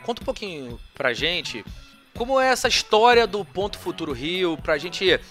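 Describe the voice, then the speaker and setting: A man hosting a podcast, speaking with lively energy and enthusiasm. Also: high voice, moderate pace, faint music behind. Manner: exuberant greeting, lively and loud. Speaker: podcast host.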